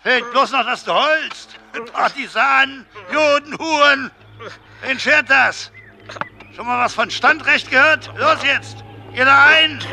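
An actor playing a coarse, callous SS squad leader in a German radio drama, with a crude, derisive, barking delivery. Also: male voice, very high voice, takes it slowly, vocal fry, pushed and bright, heavily acted. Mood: impatient, brutal.

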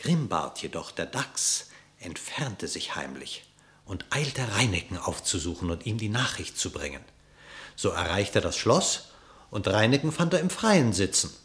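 Deep-voiced man narrating an epic fable. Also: very animated, a bit bright. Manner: measured, epic storyteller tone. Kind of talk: scripted storybook narration in verse.

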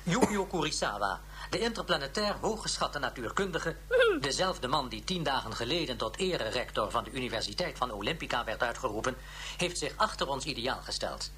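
A triumphant, pompous leader announcing ceremoniously over the airwaves in a radio play. The voice is forceful and animated, with faint noise and hum behind it. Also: male voice, mid-range voice, fairly quick. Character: solemn, triumphant male leader announcing on interplanetary television. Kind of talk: radio drama broadcast announcement.

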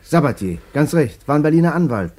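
A man's voice: on the high side, sing-song, a little fast, darkish tone. An actor playing a chatty, self-justifying Berlin businessman in a radio play, colloquial and jaunty. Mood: guarded, probing. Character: chatty, roguish Berlin businessman telling his story. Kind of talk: mid-century German radio play acting.

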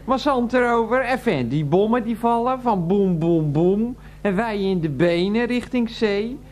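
An actress playing a chatty, rambling middle-aged psychiatric patient in a radio play, breezy and folksy. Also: female voice, mid-range voice, expressive, unhurried, darkish tone, natural voice. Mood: rambling, confiding. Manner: rambling, folksy, repeats a pet phrase.

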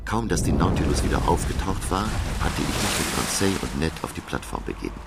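A very deep, creaky-voiced narrator tells a sea adventure in a radio drama. He sounds grave, solemn and suspenseful over a loud bed of background noise. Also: sing-song, fairly quick, heavily acted. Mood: tense. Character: grave professor recounting his sea adventure.

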